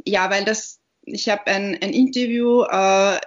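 Austrian woman, podcast guest, measured and reflective; mid-pitched, slightly dull recording. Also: steady tone. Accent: Austrian German. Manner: thoughtful, searching for words. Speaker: podcast guest, literary platform founder. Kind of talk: conversational interview answers.

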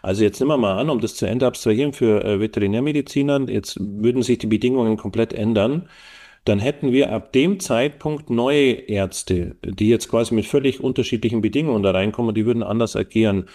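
Low gravelly man explaining at length. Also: fairly quick, dark tone. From Allgäu, Germany. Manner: thoughtful, explaining with frequent fillers. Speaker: guest researcher. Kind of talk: podcast interview.

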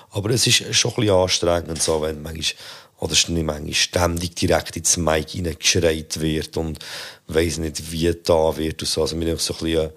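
A man with a Swiss German accent hosting a podcast, reviewing rap performances in a relaxed, critical way with many fillers. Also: deep voice. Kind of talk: casual spoken review.

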